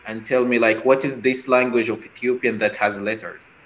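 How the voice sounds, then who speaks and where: A man from Sudan, whose first language is Arabic, talks in an earnest, halting way, restarting his phrases often. His voice sits at a middle pitch. The room is echoey, with faint noise behind him. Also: fairly even intonation, a bit bright. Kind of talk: casual discussion.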